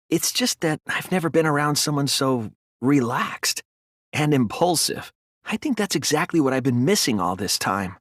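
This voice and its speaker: A man voicing an earnest, anxious, thoughtful teenage student detective in a video game, with a hesitant, soft, slightly husky delivery. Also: medium pitch, no echo, studio quality, natural voice. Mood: reflective. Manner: hesitant, stammering when flustered, earnest. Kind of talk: English video game character voice acting.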